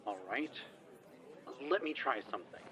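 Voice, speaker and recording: A man acting a smooth-talking team member in an audio drama, putting on formality and stumbling as he bluffs. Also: mid-range voice, lively intonation, normal speed, sharp tone. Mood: wry.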